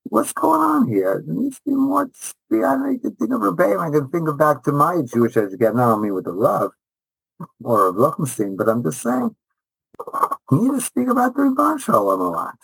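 A man with a bright, forceful voice and a close, dry sound talks casually in an interview. He is animated and emphatic, restating short phrases to drive them home, like a teacher making his point. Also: on the high side, normal speed, vocal fry, pauses often, husky, dry recording, low-quality recording. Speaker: rabbi and high school teacher.

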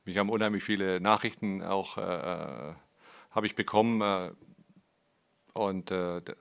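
A man's voice: low-pitched, unhurried, gravelly, a bit bright, smooth. A man answering press questions as a club's managing director, rambling with restarts, fillers and frequent "ja".

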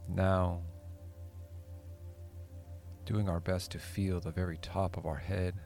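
A man leading a guided sleep meditation in a soothing, unhurried way, leaving long pauses between short phrases. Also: low-pitched, little pitch movement. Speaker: meditation guide and podcast host. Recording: soft music behind the voice.

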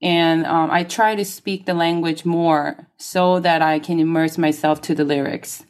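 A woman singer whose first language is Korean talks through her craft in an interview. Her voice sits a little low, and she pauses often with soft ums as she gathers her thoughts. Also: steady tone. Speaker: guest singer. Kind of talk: interview conversation.

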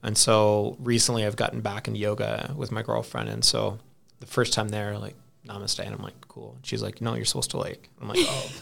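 A man's voice: lowish voice, little pitch movement, a little fast, gravelly, fairly bright tone. A man in his thirties chatting casually in an anecdotal, self-deprecating way. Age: 30s. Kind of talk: casual chat.

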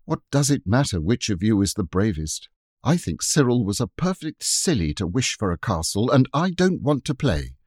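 A man's voice: fairly low pitch, big pitch swings, moderate pace, a little mellow, breathy edge, no echo, clean studio sound. A man voicing a timid, fretful little girl. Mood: cross. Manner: fretful, breathless.

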